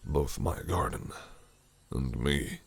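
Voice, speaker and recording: Man doing a possessive fae prince, low gravelly voice, breathy, teasing and sly. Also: slow pace, full character voice. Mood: menacing. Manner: silky, teasing, menacing. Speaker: voice actor in an audio roleplay. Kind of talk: listener-directed audio roleplay.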